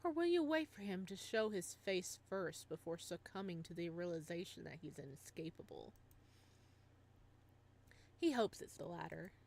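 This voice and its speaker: A woman's voice: fairly low pitch, expressive, normal speed, vocal fry, a little mellow, slight background noise. A woman playing a game on her channel, reading its story aloud as dramatic narration mixed with casual commentary.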